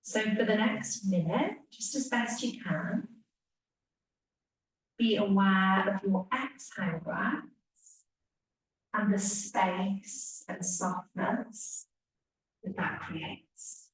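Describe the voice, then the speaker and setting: A woman teacher leading a guided relaxation meditation, speaking gently with long pauses and hushed phrase endings. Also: mid-range voice. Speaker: yoga nidra teacher. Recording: echoey room, distant mic, faint hiss.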